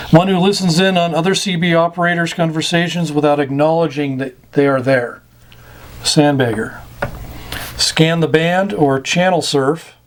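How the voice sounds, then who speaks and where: An American man giving an informal instructional talk, plain-spoken and pausing between short phrases. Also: a little high. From United States. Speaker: amateur radio instructor. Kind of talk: informal slide lesson explaining radio slang.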